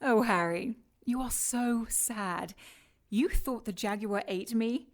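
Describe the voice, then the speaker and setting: An actress playing a scornful, scheming immortal villainess who talks with mocking condescension in an audio drama. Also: female voice, a little high, takes it slowly.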